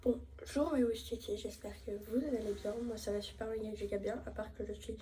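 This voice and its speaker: A woman's voice: mid-range voice, a little fast. A young woman with a French accent chatting casually in a travel vlog, rambling with fillers and stuffed up with a cold. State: has a head cold. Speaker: vlogger. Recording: noisy ambience, distant from mic.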